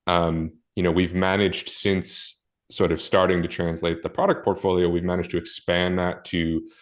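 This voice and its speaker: A man's voice: sits low, flat delivery, normal speed. A man talking casually as a podcast guest, explaining his work thoughtfully with frequent fillers and self-corrections. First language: not Portuguese. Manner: thoughtful, many fillers and restarts. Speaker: guest, e-commerce marketer.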